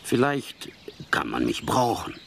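A man's voice: medium pitch, wildly swooping pitch, a little slow, a little hiss or hum. A man playing a kind, huge grey elephant in a children's audio play, speaking in a hesitant, ponderous way. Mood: good-natured, helpful. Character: kind, slow, huge grey old-fashioned male elephant.

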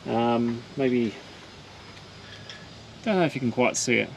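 A man's voice: medium pitch, slow pace, vocal fry, rough recording. A man in his forties with an Australian accent, casually explaining how he polishes his boat hull in an outdoor boatyard. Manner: relaxed, matter-of-fact explaining while working. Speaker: boat owner doing his own maintenance. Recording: faint outdoor noise.

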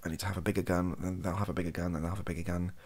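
A British man around thirty giving improvised, softly spoken comedic commentary in a rambling, dryly humorous way, often interrupting himself. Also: low voice, flat delivery, racing, husky. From Wales, UK. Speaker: comedy ASMR video creator. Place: small cluttered bedroom or home office, indoors.

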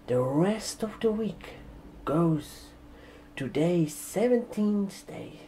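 A man in his twenties doing a soft-spoken ASMR barbershop roleplay, speaking haltingly in short phrases. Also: fairly high pitch, slight background noise. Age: 20s. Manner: slow, halting, hushed delivery with short phrases. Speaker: ASMR performer playing a barber receptionist. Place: indoor room, seated at a desk. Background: computer keyboard typing and clicks.

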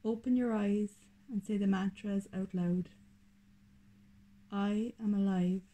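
A woman leading a guided meditation in a soothing, deliberate manner, speaking in short, unhurried phrases. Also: medium pitch, fairly even intonation, dark tone, low-quality recording.

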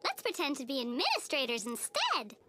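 A woman voicing a naive, sweet, relentlessly cheerful little schoolgirl in a TV cartoon, with a childlike squeaky voice and a gushing, singsong, oblivious manner. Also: wildly swooping pitch, fairly quick, heavy background noise. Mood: eager, cheerful. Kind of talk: animated TV cartoon acting. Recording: music playing behind the voice.

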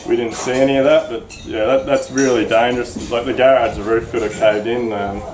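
A man in his thirties with an Australian accent, a builder explaining damage on a relaxed site walkthrough. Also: mid-range voice, brisk. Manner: relaxed, explanatory, lots of ums. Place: house under renovation, indoors. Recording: echoey bare rooms, noisy.

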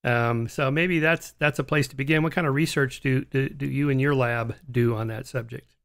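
A man in his sixties hosts an interview, talking casually and often stopping to restart a phrase. He speaks close to a studio microphone at a mid pitch. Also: steady tone, vocal fry, fairly bright tone. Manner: casual, halting, frequent restarts. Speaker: podcast host. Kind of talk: interview conversation. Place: home studio, indoors. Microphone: large studio mic on a stand.

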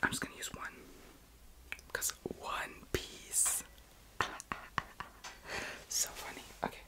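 A woman of about twenty whispering casual, excitable ASMR chat as a video host, often repeating words softly. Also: slow. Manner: whispers almost throughout.

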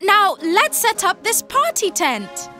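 A warm, friendly young girl engine in a children's cartoon. The voice is very high, expressive and unhurried, with music behind it. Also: heavily acted. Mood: pleased, relieved. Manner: expressive, earnest.

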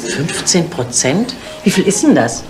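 An older woman out shopping, chatty and expressive. Her pitch moves about freely in a conversational vintage TV drama delivery. The sound is roomy, like an old film set. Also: a little slow, music in the background. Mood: friendly, curious. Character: chatty older female customer, eager then dismayed. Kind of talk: 1980s TV film acting.

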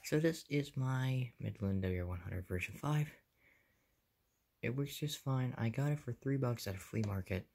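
A young American man with a medium-pitched voice talks viewers through a hobby in a casual way. His phrases are short, plain and offhand. It sounds like a handheld phone video made in a bedroom. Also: animated, normal speed, creaky, a little mellow, slight background noise. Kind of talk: casual show-and-tell narration. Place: small carpeted bedroom, indoors. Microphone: handheld phone behind the camera.

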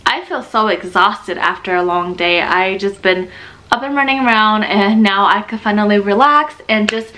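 A woman's voice: medium pitch, moderate pace, sharp tone, low-quality recording. A young woman talking casually to her camera at home, chatty and confiding, with a stuffy nose and a little out of breath. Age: young adult. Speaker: vlogger. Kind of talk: casual vlog talk. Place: home room, indoors.

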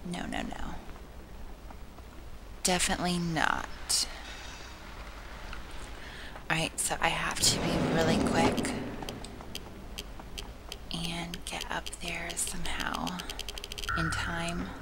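A young woman with a sore, hoarse throat, giving casual, chatty and self-mocking commentary on a video game, slipping into soft whispery asides. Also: on the low side, monotone, slow pace, long pauses, very noisy. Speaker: gameplay commentator. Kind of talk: casual let's-play commentary.

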